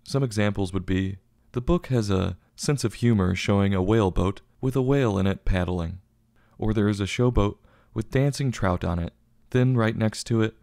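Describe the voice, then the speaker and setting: A man's voice: lowish voice, darkish tone, dry recording, clean studio sound. A man hosting a review show, reading a scripted review in a tongue-in-cheek manner. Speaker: book review show host.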